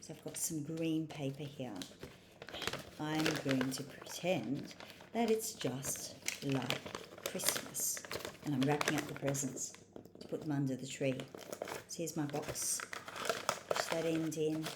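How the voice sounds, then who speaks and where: A woman's voice: sits low, slow pace, long pauses, echoey room. A woman casually talking through each small step of a craft, recorded on a computer microphone with faint noise. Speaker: craft activity presenter.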